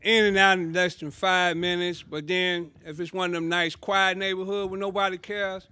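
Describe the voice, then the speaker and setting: A man's voice: high-pitched, flat delivery, moderate pace, sharp tone, clean tone. A man in his forties speaking casually and boastfully into a handheld mic. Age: 40s. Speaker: inmate speaker. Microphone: handheld microphone.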